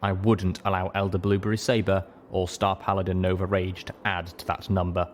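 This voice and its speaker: A man's voice: sits low, little pitch movement, a little fast, slight background noise. A man reading a story aloud as a dramatic first-person audiobook narrator. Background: music.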